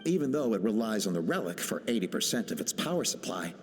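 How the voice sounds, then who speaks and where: A man's voice: lowish voice, big pitch swings, quick pace, a little hiss or hum. A man playing an ambitious male inventor who reads out his research journal with driven intent. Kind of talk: video game dialogue. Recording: long hall reverb.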